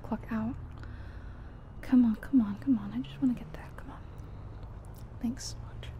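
A soft-spoken woman doing an ASMR roleplay as a friendly, chatty taxi driver, in a gentle, whispery delivery. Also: on the high side, fairly even intonation, normal speed. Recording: faint background noise of game car sounds. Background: car sounds from a driving game.

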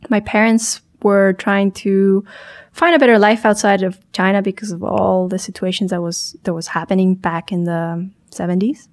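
A young woman from Chile talks as a podcast guest at a studio boom mic. Her voice sits at an ordinary pitch. She speaks thoughtfully, pausing and restarting as she searches for the right word. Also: little pitch movement. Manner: thoughtful, hesitant, many restarts and self-corrections. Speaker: startup founder guest. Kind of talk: podcast interview. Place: small studio room.

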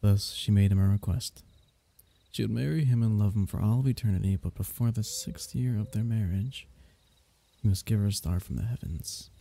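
A man acts out a royal diviner from a fantasy bedtime roleplay, gentle and flirtatious. His voice is low and very dark, with a gravelly creak. He speaks intimately, in courtly, teasing phrases. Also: normal speed. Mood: fond storytelling. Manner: tender, teasing, courtly old-fashioned phrasing, soft chuckles.

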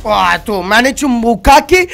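A man's voice: very high voice, lively intonation, moderate pace, vocal fry, fairly bright tone, a little hiss or hum. A young man from Spain with a Spanish accent, a bit stuffed up with a cold, chatting slangily to his viewers while gaming at home on a headset mic. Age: young adult. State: congested with a cold. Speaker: gaming YouTuber. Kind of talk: casual gameplay commentary. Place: home room at a gaming setup.

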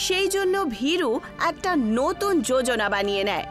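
Female narrator for animated folk tale: high, animated, expressive storytelling over music. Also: moderate pace. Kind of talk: scripted storybook narration for a cartoon. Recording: music behind the voice. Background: background music.

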